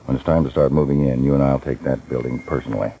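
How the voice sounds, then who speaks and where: An actor playing a steady senior lawman planning a raid, terse and watchful, in an old radio crime drama. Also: a bass voice, fast, lo-fi sound, music in the background. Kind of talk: 1940s-50s radio crime drama acting.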